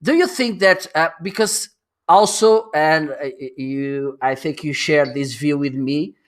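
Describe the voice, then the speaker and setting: A man's voice: on the high side, unhurried. A man hosting a live stream, chatting and teasing his guest, laughing often and reading out viewer comments. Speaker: host.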